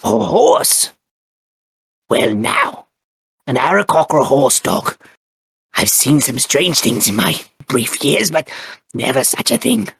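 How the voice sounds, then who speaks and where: A man voicing a bashful bird-folk cleric with a husky, raspy voice, who stammers and hesitates before speaking solemnly of his goddess. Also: a little high, sing-song, slow pace, long pauses, a bit bright, no echo, exaggerated voice. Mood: puzzled. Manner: stammering, hesitant, then solemn when he preaches. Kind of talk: tabletop character interview.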